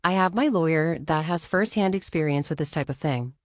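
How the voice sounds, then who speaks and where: A woman playing a firm, fed-up woman fending off a harasser, in a measured dramatic reading of texts. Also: low voice, lively intonation, dry recording, clean studio sound. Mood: composed, dismissive. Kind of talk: dramatic reading of a text exchange.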